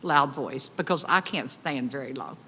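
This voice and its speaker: An upset woman with a big, loud voice, pleading urgently as a resident at a public meeting. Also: very deep voice, lively intonation, moderate pace, a little hiss or hum. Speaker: resident addressing county commissioners. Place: county commission meeting room. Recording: echoey hall, distant reverb.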